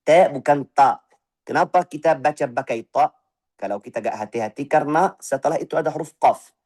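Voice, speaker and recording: A man teaching Quran recitation, correcting pronunciation by voicing the wrong and right sounds in turn and repeating short syllables slowly. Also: fairly high pitch, a little mellow. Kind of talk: tajwid lesson with spoken recitation examples.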